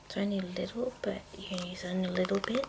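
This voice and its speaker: A woman whispering in a breathy, hushed, unhurried way with halting pauses, presenting an ASMR show-and-tell. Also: medium pitch, heavy background noise. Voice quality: breathy whisper with creaky edges. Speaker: ASMR video host.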